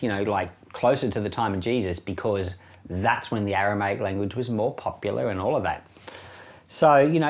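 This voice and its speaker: Australian man teaching the Bible informally. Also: fairly low pitch. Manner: conversational, plain-spoken, chuckles now and then. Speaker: pastor hosting a Bible podcast.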